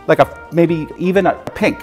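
A man's voice: fairly high pitch, normal speed, a little mellow, soft background music. Man bluffing as a fussy safety inspector. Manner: authoritative, rambling, mock-serious. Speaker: prank host posing as safety inspector. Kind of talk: improvised deadpan prank bluster. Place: large indoor warehouse.

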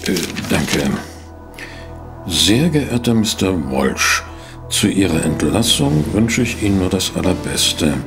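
An actor playing a polite, pensive private detective who narrates and investigates in a German audio drama. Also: male voice, low voice, animated, slow, over music, full character voice. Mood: reading aloud. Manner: courteous, pensive, hesitant. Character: polite, inquisitive male private detective, narrating his case.

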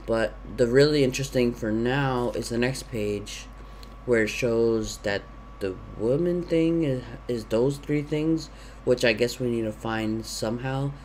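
A man's voice: medium pitch, a little slow, a little mellow. A young man talks through his gameplay into a headset, rambling and thinking aloud with plenty of ums. His throat is sore and he has the sniffles. A faint hiss of noise sits under his voice. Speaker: gaming YouTuber. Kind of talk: casual let's-play commentary. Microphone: gaming headset.

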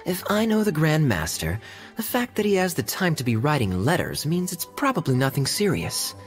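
A man voicing a suave young male knight captain in a video game, with a smooth, teasing manner. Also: a little high, wildly swooping pitch, moderate pace, faint music behind. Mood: relaxed.

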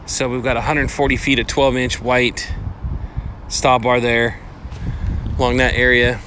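A man talks in a flat, even line with a bright, forceful edge, recorded on a phone held in his hand outdoors. Faint outdoor noise sits behind him as he walks and counts things off in a plain, matter-of-fact way. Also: mid-range voice, measured pace. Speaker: paving estimator. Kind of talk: casual walkthrough narration. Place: outdoor parking lot. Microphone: phone held in hand while walking.

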